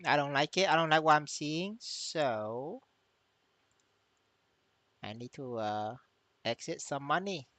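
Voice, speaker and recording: A man's voice: on the high side, animated, takes it slowly, long pauses, fairly bright tone, no room sound. A man livestreaming as a gamer in casual chat, stammering, repeating his words and swearing freely. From Vietnamese. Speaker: game streamer.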